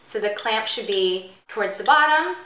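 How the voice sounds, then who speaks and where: A woman's voice: mid-range voice, lively intonation, normal speed, sharp tone, echoey room. A woman hosting a casual step-by-step hairstyling tutorial, explaining in an instructional way.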